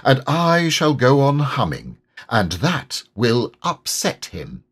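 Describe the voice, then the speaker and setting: A man voicing a kindly, slow-witted toy bear who talks in a thoughtful, hesitant way in a children's storybook. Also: mid-range voice, wildly swooping pitch, a bit bright, husky, voice pushed up, exaggerated voice. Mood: pondering, hesitant.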